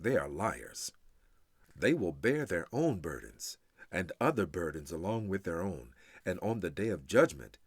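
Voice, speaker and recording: Deep-voiced man reciting scripture with dramatic swings. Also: slightly rough, no room sound, studio quality. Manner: solemn, declamatory, measured phrasing with deliberate pauses. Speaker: reader of scripture.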